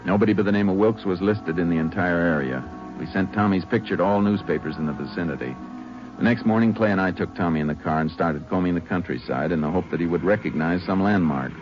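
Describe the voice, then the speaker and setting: An actor playing a calm, methodical, kindly Texas Ranger who narrates and acts in an old radio drama. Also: male voice, low-pitched, quick pace, over music, lightly acted. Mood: matter-of-fact narration. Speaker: lead and narrator. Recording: muffled, noisy old radio recording.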